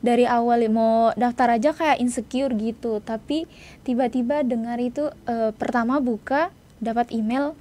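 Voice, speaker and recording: Young adult female interview guest, slightly high voice, informal, hesitant phrasing. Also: steady tone, normal speed, warm tone. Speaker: interview guest, student writer. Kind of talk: casual interview chat.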